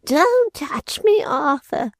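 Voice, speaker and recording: A man's voice: extremely high pitch, lively intonation, slow, a little mellow, no echo, pitched above the performer's own voice, heavily acted. A man reading an audiobook, voicing a frightened, superstitious servant woman with rustic, ungrammatical speech. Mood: fearful. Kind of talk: audiobook character voice.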